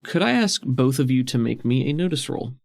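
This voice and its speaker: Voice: medium pitch, expressive, brisk, creaky, a little mellow. A game master narrating a tabletop role-playing game in a casual way and bantering with the players.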